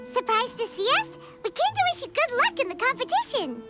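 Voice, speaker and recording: A woman's voice: very high voice, huge pitch swings, a little fast, exaggerated voice. A woman voicing a small, perky, childlike fairy companion in a video game, exclaiming and talking about herself in the third person, with music behind. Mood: cheerful, curious. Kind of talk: animated video game acting.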